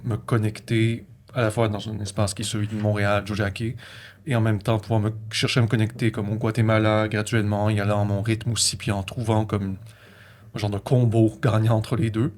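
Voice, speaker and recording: A man's voice: fairly low pitch, a little mellow. A man with a Québécois accent reflecting personally in conversation, feeling his way through sentences and often correcting himself. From Quebec, Canada.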